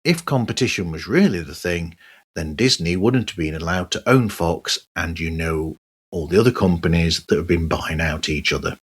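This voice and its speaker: A middle-aged man giving a conversational solo podcast monologue in measured, halting short phrases. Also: deep voice, husky. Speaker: podcast host.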